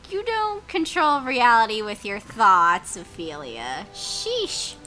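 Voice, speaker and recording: A high, bright voice from an excitable woman reading a story game aloud. Her delivery swings widely in pitch and is playful and geeky, with self-mocking asides. Music plays behind her. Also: slow. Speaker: gaming channel player reading out a story game. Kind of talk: playful Let's Play reading with joking asides.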